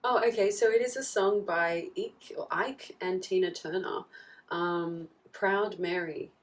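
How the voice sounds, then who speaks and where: A woman with a husky voice from a recent flu, giving a casual tarot reading and often checking in with "okay?". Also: fairly low pitch, fairly even intonation, a little slow. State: husky, getting over a flu. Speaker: tarot reader. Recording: slightly distant mic, faint background noise.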